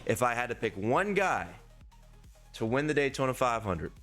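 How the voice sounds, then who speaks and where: A hoarse man, a young adult, hosting a casual sports-betting preview and swearing freely in a loose, rambling way. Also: medium pitch, very animated, moderate pace, fairly bright tone, faint music behind. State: hoarse, losing his voice. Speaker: sports betting podcast host.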